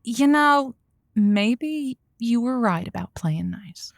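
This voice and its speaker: A woman plays a feisty underdog radio boss, high-voiced and swooping. She is dramatic and indignant, recorded close and dry for comic audio drama. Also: expressive, takes it slowly, vocal fry, dry recording, heavily acted. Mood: wry, wary.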